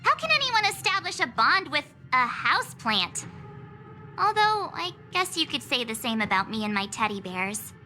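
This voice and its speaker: A woman voicing a spirited, sarcastic teenage girl who is quick to exasperation in a video game. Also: sits high, sing-song, sharp tone, soft background music, full character voice. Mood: exasperated.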